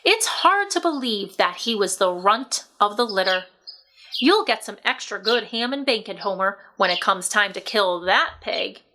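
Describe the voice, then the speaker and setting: A plain-spoken storybook farmer and father, read by a woman. The delivery is measured and matter-of-fact, in a bright voice of medium pitch. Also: animated. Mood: admiring.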